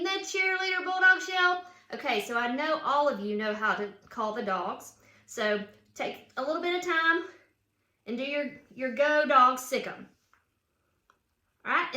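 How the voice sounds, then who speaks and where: Woman, bright high voice, children's read-aloud, questioning and cheering delivery, roomy echo. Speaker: children's book author. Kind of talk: read-aloud of children's picture book with chatty asides. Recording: roomy, echoing room sound.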